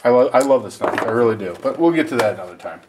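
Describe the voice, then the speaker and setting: A man's voice: mid-range voice, animated, racing, noisy background. Male video host, mid-range voice, informal and rambling gear unboxing, self-deprecating delivery. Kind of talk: casual unboxing and review talk.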